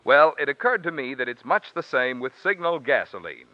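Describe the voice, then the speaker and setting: American man, polished radio pitchman, persuasive, swinging intonation, 1940s announcing, dull old recording. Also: sing-song, a little fast, no room sound.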